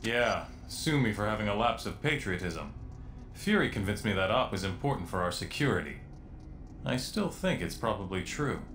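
An actor playing a confident, wry armored superhero team leader in a video game, brisk and matter-of-fact. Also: male voice, on the low side, lively intonation, fairly bright tone, a little hiss or hum. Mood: wry, serious. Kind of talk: video game cutscene acting and briefing.

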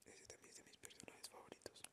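A woman with a Colombian accent giving casual, slangy, playful ASMR commentary on a video game in a whisper. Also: fast. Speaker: gaming video host.

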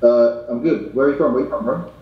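A man's voice: medium pitch, fast talker. A young man on a video call, answering a stranger in short, offhand replies. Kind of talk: casual chat. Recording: far-off, dull, echoey video call audio.

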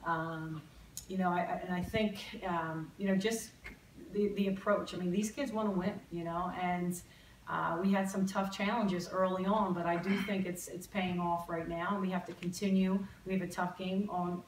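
A middle-aged woman head coach speaking at a postgame press conference, halting, with many fillers and repeated words. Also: on the low side, steady tone, slight background noise. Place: press room in an athletics building. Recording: roomy, distant press-room audio.

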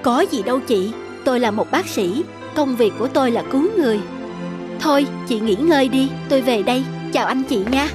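A woman's voice: sits high, lively intonation, a little fast. A woman playing a capable village doctor in a cartoon dub, firm and reassuring. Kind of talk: cartoon dubbing. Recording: music behind the voice.

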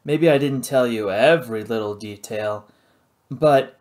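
Casting: male reader playing cool, slippery pirate aviator, dry and teasing, middle pitch, adventure audiobook. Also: a little slow, darkish tone, natural voice. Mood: placating. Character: unflappable roguish male pirate pilot, slyly evasive.

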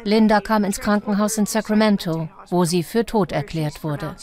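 A woman's voice: mid-range voice, normal speed, close to an everyday voice. A woman voicing a documentary interviewee who recounts a case in dubbed testimony. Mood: earnest recounting.

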